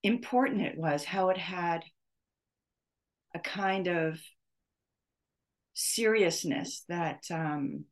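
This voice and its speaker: A middle-aged woman hosting a podcast, speaking off the cuff and haltingly, often searching for her words. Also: fairly low pitch. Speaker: podcast host. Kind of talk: off-the-cuff spoken reflection.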